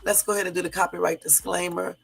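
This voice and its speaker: A hoarse woman from the US West Coast hosting a live stream, chatting casually with viewers and sprinkling in lots of fillers. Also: fairly low pitch, little pitch movement, quick pace, soft background music. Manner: chatty, addresses viewers as y'all, many fillers. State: hoarse from a cold. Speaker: livestream host.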